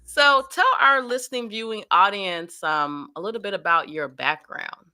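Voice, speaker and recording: A woman hosting an interview show, speaking in a deliberate, encouraging way to her guest. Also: medium pitch, pushed and bright.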